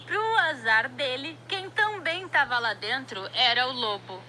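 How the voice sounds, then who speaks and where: A woman's voice: high voice, lively intonation, a little slow, bright, forceful tone. A woman with a Brazilian accent telling a story as informal commentary, dropping wry, joking asides. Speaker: narrator of a horror story recap. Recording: clear noise behind the voice.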